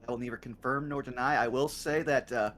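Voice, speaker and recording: A man chatting casually about a game he has already played, explaining its story eagerly with quick asides. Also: medium pitch, fairly even intonation, a bit bright, slight background noise. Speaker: co-host who has played the game before.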